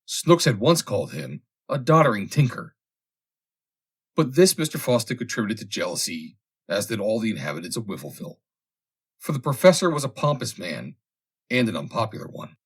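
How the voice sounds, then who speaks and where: Husky, expressive low-voiced man narrating a story. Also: moderate pace. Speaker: audiobook narrator. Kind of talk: scripted narration of a humorous vintage story.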